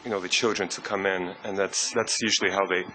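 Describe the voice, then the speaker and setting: Man, very deep voice, audience question, careful and tentative, faint hiss. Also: steady tone, fast, pushed and bright, low-quality recording. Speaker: audience member asking a question. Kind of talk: question from the floor.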